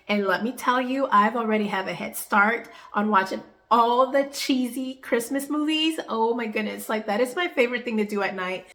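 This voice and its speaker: A young woman vlogging from home, her voice a little nasal and congested from a stuffy nose. She talks in a cheerful, easygoing ramble, with a bright, crisp edge to her tone. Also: medium pitch. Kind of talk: casual home vlog talk to camera.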